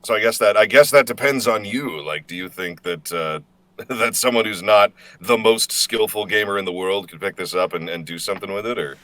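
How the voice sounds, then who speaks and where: A man's voice: lowish voice, brisk, fairly bright tone, no echo. An American man from Indiana, a voice actor chatting casually as a guest in a self-deprecating, slightly hesitant way. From Indiana, United States. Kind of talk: casual chat.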